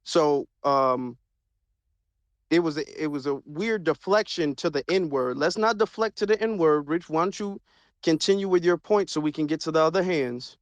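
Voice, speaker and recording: A man hosting an online audio discussion, speaking in a measured, hesitant way with many fillers. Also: fairly high pitch, no echo. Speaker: host of audio chat room.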